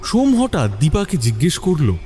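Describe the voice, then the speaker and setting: A man narrating a scripted mystery story in a dramatic, expressive style. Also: on the high side, normal speed, faint music behind.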